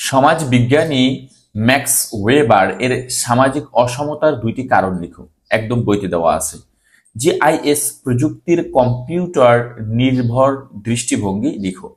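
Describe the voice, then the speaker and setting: A man's voice: medium pitch, darkish tone. A man with a Bangladeshi accent teaching an exam-preparation lesson, reading questions aloud and instructing students, repeating key phrases for emphasis.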